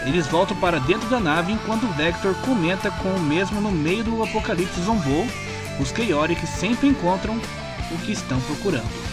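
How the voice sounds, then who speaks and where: Male narrator, Brazilian accent, high register, scripted story recap, delivered over a prominent music bed. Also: lively intonation, normal speed. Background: clear background music.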